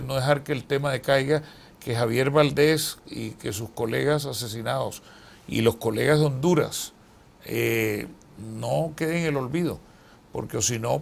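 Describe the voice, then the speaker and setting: An older man with a Colombian accent, a journalist answering interview questions in a TV studio, deliberate and full of hesitant fillers. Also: medium pitch, vocal fry. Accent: Colombian Spanish. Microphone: lapel.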